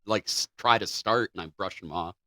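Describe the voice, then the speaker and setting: A man's voice: lowish voice, normal speed, bright, forceful tone, dry recording, studio quality. A man co-hosting a podcast, telling a story casually with restarts and casual swearing. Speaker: podcast co-host.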